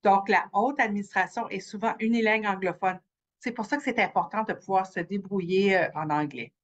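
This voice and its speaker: A woman giving an informational presentation, halting often with fillers. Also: medium pitch, dull audio.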